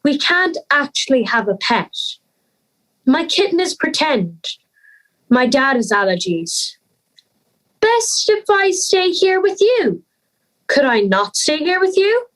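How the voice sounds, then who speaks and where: Anxious fairy-tale schoolgirl acted by a young woman, pleading, high voice, very expressive pitch, audio drama. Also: slow pace, long pauses, exaggerated voice, rough recording. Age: young adult. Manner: hesitant, pleading.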